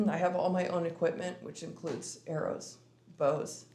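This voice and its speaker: A woman with a raspy voice giving public comment at a city commission meeting. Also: on the low side, measured pace, dark tone, a little hiss or hum. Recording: echoey meeting room.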